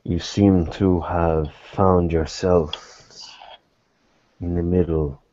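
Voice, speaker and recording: A man playing a weary, guarded private investigator in a tabletop roleplay, speaking in halting, deliberate, formal phrases. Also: low voice, little pitch movement, warm tone, no room sound. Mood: grave, measured. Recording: dull lo-fi recording.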